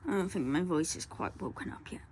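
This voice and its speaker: A woman, talking softly through a colour-along tutorial and often dropping to a whisper. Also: on the low side, animated, brisk, slight background noise. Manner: soft, rambling, often drops to a whisper. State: croaky voice.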